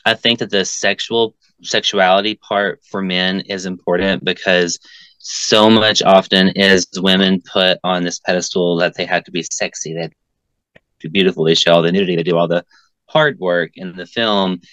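A man's voice: low voice, steady tone, moderate pace, fairly bright tone, clear, resonant tone, no echo, rough recording. A man, a filmmaker and actor, talking casually as an interview guest, rambling with frequent fillers. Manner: talkative, frequent "um" and "like". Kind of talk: casual conversation.

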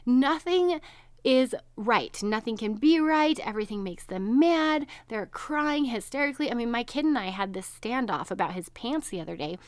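A woman's voice: on the high side, lively intonation, moderate pace, dry recording. A woman in her thirties hosting a solo podcast, chatty and bubbly, laughing often, her voice nasal and stuffed up from allergies. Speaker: podcast host, registered dietitian. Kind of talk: casual solo podcast chat.

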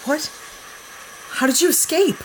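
An actress playing a brash, trigger-happy young heroine who talks bluntly and snappily. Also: female voice, sits high, unhurried, slight background noise, low-quality recording.

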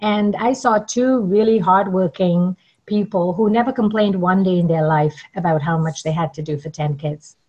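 A woman's voice: fairly low pitch, normal speed, warm tone, muffled recording. A middle-aged woman from Trinidad and Tobago, telling her story conversationally as an interview guest. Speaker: interview guest, networking coach.

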